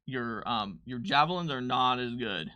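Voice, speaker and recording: A man's voice: mid-range voice, animated, moderate pace, bright, forceful tone, no echo. A man chatting casually as a livestream co-host, thinking aloud as he explains tabletop game rules and works through numbers. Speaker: game designer co-hosting a livestream.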